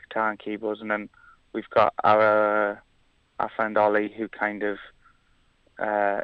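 A man's voice: lowish voice, clear, resonant tone, no room sound, lo-fi sound. A man from Wales, a musician, chatting casually in an interview, hesitant with many fillers and restarts. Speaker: musician guest.

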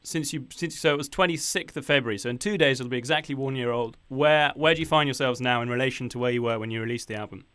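A man's voice: medium pitch, fast, few pauses, a bit bright, smooth, no room sound, clean studio sound. A man hosting a radio interview, asking friendly, rambling questions with many ums. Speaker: radio presenter.

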